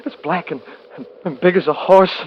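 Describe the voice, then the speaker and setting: Man acting a frantic high-pitched snowbound hunter. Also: normal speed, muffled recording, close to an everyday voice. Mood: dazed, fearful. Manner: stammering, frantic. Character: terrified, stubborn snowbound male cat hunter. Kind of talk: 1950s radio acting. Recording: noisy vintage 1950s radio recording.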